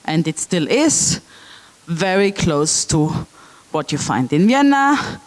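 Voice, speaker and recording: A woman from South Tyrol giving a public lecture with slides, speaking slowly with frequent ums and check-in okays. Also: lowish voice, sing-song, rough recording. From South Tyrol, Italy.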